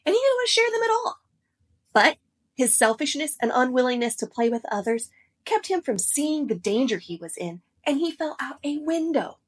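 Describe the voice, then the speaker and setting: A woman hosting a children's read-aloud show, speaking to kids in an expressive, instructive, scripted way. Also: high-pitched, slightly rough.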